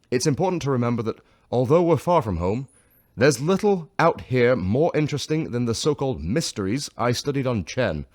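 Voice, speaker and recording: A man performs a pompous science professor from a sci-fi radio drama. His mid-pitched voice rises and falls markedly as he lectures with lofty, formal condescension. The sound is dry and close. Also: darkish tone, no echo, close to an everyday voice.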